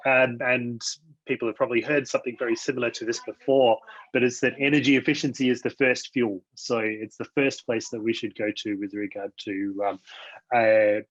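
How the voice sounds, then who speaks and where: A man's voice: mid-range voice, no echo. An Australian man giving an easygoing webinar presentation over a video call, with a light self-deprecating manner. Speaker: invited speaker. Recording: dry, dull lo-fi video call.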